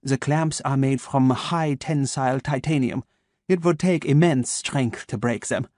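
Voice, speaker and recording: A man voicing a cold, calculating middle-aged male scientist with a crisp, clipped German accent, speaking slowly and formally. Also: mid-range voice, darkish tone, no room sound, studio quality, lightly acted. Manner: slow, measured, coldly formal.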